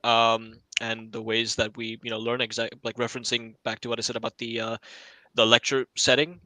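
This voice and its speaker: Young man, bright forceful voice, medium pitch, polite student panelist. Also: completely flat delivery, fairly quick, dry recording, low-quality recording. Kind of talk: unscripted panel discussion.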